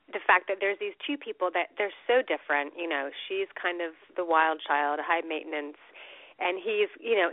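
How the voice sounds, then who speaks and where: A woman's voice: on the low side, dry recording. Woman guest, cold-stuffed and nasal, bubbly and chatty, thin dry phone line. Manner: bubbly, laughs often, repeats words. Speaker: guest actress. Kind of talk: casual phone interview. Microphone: phone or call line.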